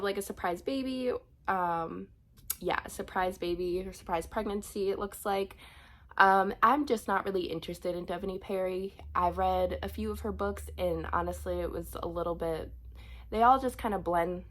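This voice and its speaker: A young woman with a cold, sounding sniffly as she chats casually about books, with frequent ums and restarts. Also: fairly low pitch. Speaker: book vlogger. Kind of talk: casual book unhaul chat.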